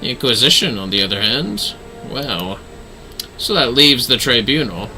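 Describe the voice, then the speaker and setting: A man voicing a calm, ominous dark knight mentor from a video game, in a measured, dryly formal and theatrical way. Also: medium pitch, soft background music, rough recording. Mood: wry, calculating. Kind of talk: video game dialogue read aloud.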